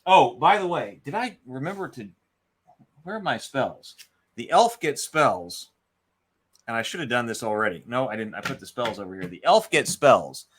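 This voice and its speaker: A man's voice: mid-range voice, big pitch swings, normal speed, breathy edge. A man hosting a solo board game session, talking casually through his moves and dice counts as he thinks aloud. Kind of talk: casual live play-by-play with narrated moves.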